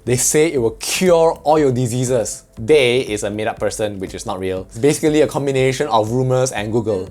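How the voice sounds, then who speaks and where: A man's voice: mid-range voice, a little fast, hardly any pauses, darkish tone, soft background music. A man from Malaysia with a Malaysian English accent, explaining things in a chatty, joking vlog. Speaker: vlogger.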